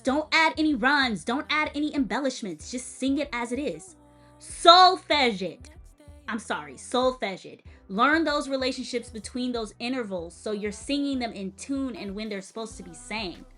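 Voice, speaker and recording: Animated, light-voiced woman music teacher. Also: on the high side. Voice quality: light-sounding voice. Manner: animated and emphatic, stressing words and interjecting; direct, teacherly advice to the viewer. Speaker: music educator and vocalist. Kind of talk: casual commentary and performance critique.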